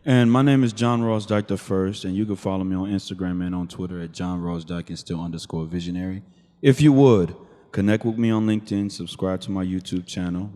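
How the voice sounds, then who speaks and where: A man hosting a casual interview podcast, laid-back and quick to answer with "okay" and "no doubt". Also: low voice, dark tone, in a large hall. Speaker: podcast host. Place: room in a shared studio space.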